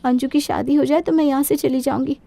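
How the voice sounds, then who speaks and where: A woman's voice: high-pitched, steady tone, quick pace, vocal fry, dark tone. A woman voicing a timid, aggrieved young daughter-in-law in a cartoon moral story, speaking hesitantly. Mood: hurt, resolved.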